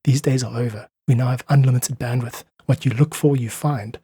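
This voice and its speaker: A man's voice: mid-range voice, fairly even intonation, fairly quick, mellow, husky, dry recording, clean studio sound. A South African man speaking as a musician guest in a conversational interview, emphatic, persuasive and informal as he gives his opinions.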